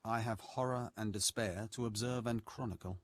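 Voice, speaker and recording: An actor playing a sadistic, courteous evil lord in a video game, pompous and savoring every cruel word. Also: male voice, fairly low pitch, fairly even intonation, moderate pace, no room sound, natural voice. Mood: gloating, exhilarated. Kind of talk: video game villain acting.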